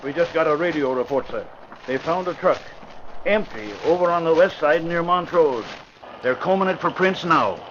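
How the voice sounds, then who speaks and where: A man playing a brisk police sergeant in an old radio drama, reporting in a matter-of-fact way. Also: fairly high pitch, lively intonation, fairly bright tone. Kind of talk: 1940s radio acting. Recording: muffled old radio broadcast with faint hiss.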